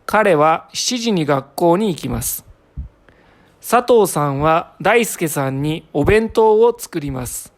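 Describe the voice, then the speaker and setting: A woman's voice: fairly low pitch, animated, extremely slow. A woman from Japan reading short lesson passages aloud carefully, as a native speaker for learners.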